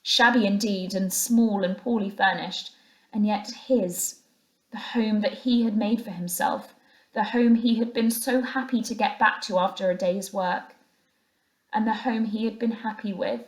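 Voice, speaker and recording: A woman narrating a children's classic aloud as a teacher would, in an expressive storytelling style. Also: mid-range voice, measured pace, poor audio.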